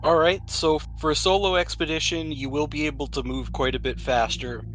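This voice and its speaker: A man's voice: a little high, fairly even intonation, fairly quick, a bit bright, slight background noise. A man hosting and narrating a tabletop roleplaying game, explaining things to players in an easygoing way. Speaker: podcast host and game master. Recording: music bed behind the voice. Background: background music.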